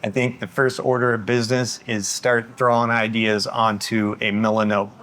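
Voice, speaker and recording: A man's voice: lowish voice, little pitch movement, moderate pace, fairly bright tone. A man who makes videos, talking casually and explaining in vlog style. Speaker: filmmaker, video creator.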